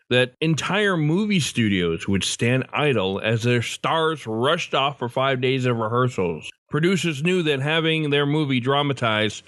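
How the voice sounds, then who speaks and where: A male host delivers a scripted introduction for a radio program. His mid-pitched voice sits in a dry, close recording with no room sound. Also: a bit bright, no room sound. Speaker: podcast host.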